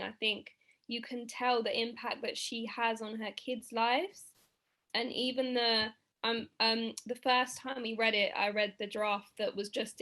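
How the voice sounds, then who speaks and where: A woman's voice: a little high, fairly bright tone. A woman giving casual feedback as a participant in a script discussion.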